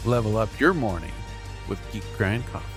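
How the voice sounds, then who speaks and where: A man's voice: on the low side, lively intonation, a little fast, music in the background. A man doing a scripted, skit-style podcast sponsor read with upbeat salesman patter. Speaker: podcast host reading an ad.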